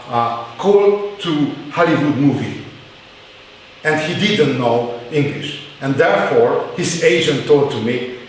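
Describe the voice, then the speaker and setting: A man with a Hungarian accent gives a university lecture in hesitant English, full of uh's and restarts. His slightly high voice is picked up from far off in a very echoey hall, with a faint hiss behind it. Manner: halting non-native English with many fillers.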